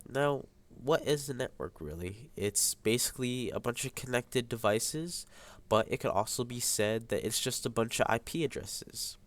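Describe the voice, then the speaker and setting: A young man with a stuffy nose, a high school student hesitantly explaining a networking lab over a screen recording. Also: moderate pace. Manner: hesitant, many ums and uhs. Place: at a computer, voice over screen capture.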